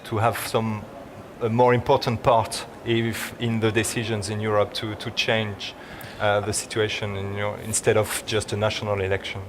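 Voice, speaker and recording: A man's voice: lowish voice, level tone, normal speed, noisy background. A man moderating a panel debate, putting questions to the panelists with hesitant restarts. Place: debate hall in a parliament building.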